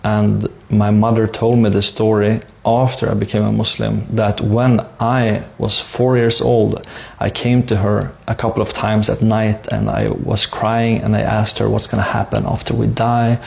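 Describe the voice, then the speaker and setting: A man with a Swedish accent shares a personal story. His voice sits fairly low, with a heavy creak in it. He stays measured and earnest throughout, keeping the melody very level. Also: monotone, a little mellow. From Sweden. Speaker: speaker sharing a personal conversion story.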